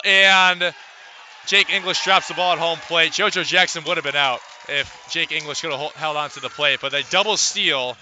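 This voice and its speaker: Bright, forceful male baseball announcer. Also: on the high side. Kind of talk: live radio sports commentary.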